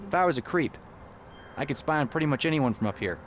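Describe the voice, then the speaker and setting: An actor playing an earnest, excitable young rocket inventor in an adventure game, with a boyish, easily flustered, eager manner. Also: male voice, on the high side, quick pace, a little hiss or hum, lightly acted. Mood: musing.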